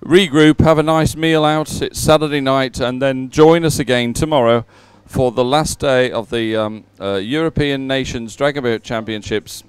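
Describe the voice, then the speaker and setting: A man commentating live at a sporting event and reading out results in a hesitant, halting way. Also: medium pitch, steady tone, clear, resonant tone, dry recording.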